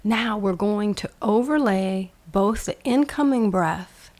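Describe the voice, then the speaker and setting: A woman guiding a meditation with measured instructions, in short phrases that often end hushed. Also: medium pitch. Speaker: meditation guide. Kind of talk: slow guided meditation, instructional.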